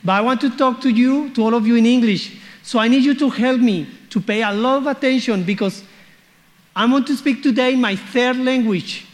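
A man with a Spanish accent preaches to a congregation, his voice sitting high for a man. He reads scripture and playfully draws the listeners in with questions. A long church-hall reverb trails behind him. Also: moderate pace. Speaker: guest preacher. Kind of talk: sermon with scripture reading.

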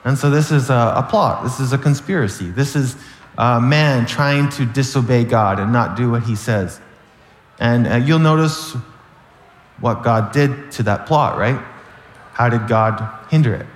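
A man with a raspy, hoarse voice from a cold, earnestly teaching a sermon, often restarting phrases and asking "right?". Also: mid-range voice, a little slow, reverberant, big-room sound. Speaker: preacher. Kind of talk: sermon-style Bible teaching.

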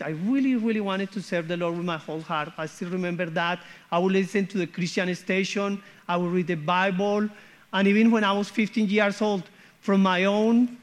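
A man, sharing his church testimony as a guest missionary in an earnest way that often checks in with "okay?" and "hmm?". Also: sits high. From Colombia.

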